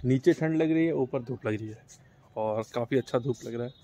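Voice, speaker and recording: A man's voice: medium pitch, brisk. A young man casually narrating a home vlog into a handheld phone, chatty and showing viewers around. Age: young adult. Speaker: vlogger. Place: rooftop and rooms of a house. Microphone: handheld phone camera. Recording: vlog with loud music underneath. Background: loud background music.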